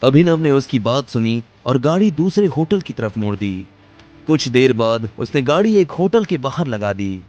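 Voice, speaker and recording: A man's voice: medium pitch, big pitch swings, normal speed, a little mellow, dull audio, soft background music. A man narrating an audio drama in a scripted, dramatic storytelling style.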